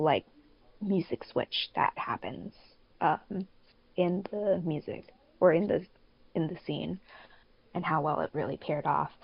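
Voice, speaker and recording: Low-pitched woman hosting, dull dry audio. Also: dry recording. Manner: halting, thinks aloud with frequent "like". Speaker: podcast host and moderator. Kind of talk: casual podcast chat among a fandub crew.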